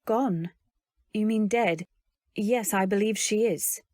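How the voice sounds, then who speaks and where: An actress playing an anxious young wife, telling her story in measured, literary old-time radio drama style. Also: mid-range voice, a little mellow, no room sound, studio quality, lightly acted. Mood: puzzled, probing.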